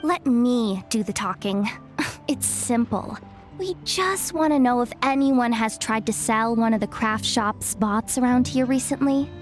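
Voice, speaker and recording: A woman's voice: fairly high pitch, unhurried, lightly acted. A woman voicing a street-smart young female informant in a video game cutscene, wry, self-assured and conversational. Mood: wry, businesslike. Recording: music behind the voice.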